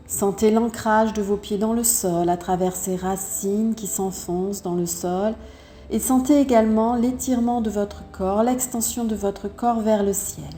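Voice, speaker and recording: Mellow, slow-paced woman guiding yoga in a roomy space. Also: mid-range voice. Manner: calm, measured step-by-step guidance with pauses. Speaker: yoga teacher. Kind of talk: guided yoga and breathing instruction.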